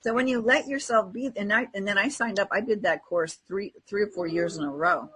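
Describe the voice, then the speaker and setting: A woman's voice: mid-range voice, fairly quick, background noise. A woman in casual podcast conversation, telling personal anecdotes and acting out bits of dialogue.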